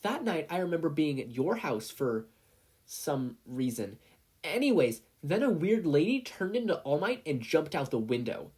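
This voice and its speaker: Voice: mid-range voice, sing-song, moderate pace, slightly rough, voice pushed up. A story narrator voicing a brash, angry preschool boy, scornful and bragging. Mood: dismissive, bragging. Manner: shouty, scornful, indignant.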